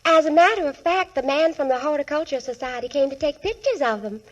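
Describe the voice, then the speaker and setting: A woman's voice: high-pitched, expressive, fast talker, dull audio. A woman playing a gracious, sweetly sentimental young lady in a 1940s radio comedy, speaking politely and affectionately. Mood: grateful, adoring. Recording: vintage 1940s radio broadcast recording.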